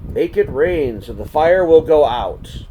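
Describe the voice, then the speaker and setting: Man voicing Curlew, an urgent, high-calling angry bird chief. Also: lively intonation, slow, phone-quality sound, a little hiss or hum. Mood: commanding. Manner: urgent shouted orders. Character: Curlew, male sky-world bird chief, angry and commanding.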